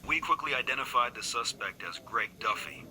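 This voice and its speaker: An actor playing a grim, haunted police investigator, giving a grave, measured case briefing in a video game. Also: male voice, medium pitch, animated, pushed and bright, rough recording. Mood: grave, factual.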